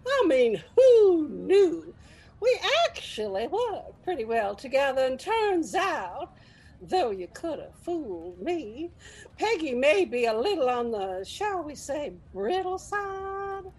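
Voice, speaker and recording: A woman's voice: sits high, very animated, takes it slowly, a little mellow, heavily acted, poor audio. A woman playing a bubbly, folksy, gushing exhibitor in a comedy sketch, sugary with little barbs. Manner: gushing, folksy, dramatic drawl.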